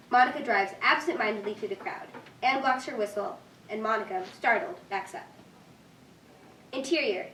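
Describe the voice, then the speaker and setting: A woman narrating a script's stage directions at a staged reading. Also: fairly high pitch. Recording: echoey room, distant mic, faint noise.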